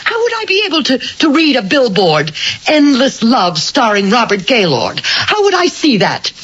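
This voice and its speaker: Anxious insistent housewife, animated intonation, radio drama, muffled hissy old recording. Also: female voice, fairly high pitch, moderate pace, slightly rough, exaggerated voice. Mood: earnest pleading. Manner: hesitant starts, earnest pleading.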